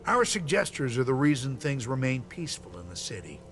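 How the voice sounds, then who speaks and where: An actor playing an officious, dutiful city gate guard in a video game, formal and earnest as if reciting rules. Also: male voice, mid-range voice, very animated, brisk, fairly bright tone, faint music behind. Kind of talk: video game character dialogue.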